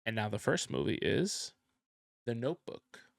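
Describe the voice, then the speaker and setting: A man's voice: medium pitch, big pitch swings, moderate pace, gravelly, no room sound, clean studio sound. A young man whose first language is Spanish, co-hosting a podcast in casual, boastful banter. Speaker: podcast co-host. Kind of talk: casual chat.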